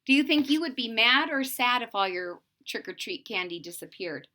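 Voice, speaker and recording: A woman reading a picture book aloud to children in a dramatic way, pausing to put questions to young listeners. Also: fairly high pitch, expressive, bright, forceful tone.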